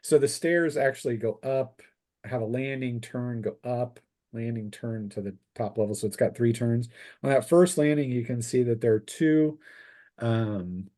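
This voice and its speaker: A man narrating a tabletop roleplaying game as game master, improvising descriptions in a halting way. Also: mid-range voice, warm tone.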